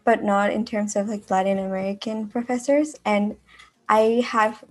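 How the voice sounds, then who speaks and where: A young woman from Mexico, a university student talking casually in a podcast discussion in a halting way, often saying "like". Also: mid-range voice, fairly even intonation. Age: young adult. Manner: halting, frequent filler "like".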